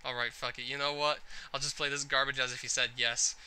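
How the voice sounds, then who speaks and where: A man's voice: mid-range voice, little pitch movement, fast talker, sharp tone, slight background noise. A man voicing a contemptuous, foul-mouthed video game character, exasperated and insulting as he berates the player. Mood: angry contempt.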